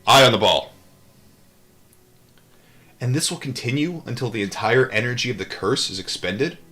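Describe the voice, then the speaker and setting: A man's voice: mid-range voice, lively intonation, normal speed, bright, forceful tone, soft background music. A man hosting a live read-aloud, moving between reading a book and casual, irreverent, rambling asides. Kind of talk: audiobook-style reading with casual off-the-cuff asides.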